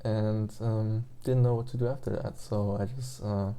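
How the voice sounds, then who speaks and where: Gravelly-voiced young German man rambling. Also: fairly low pitch, fairly even intonation, moderate pace, dark tone. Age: 20s. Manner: meandering, hesitant, many fillers and restarts. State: just woke up. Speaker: language learner vlogger. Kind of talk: rambling casual rant.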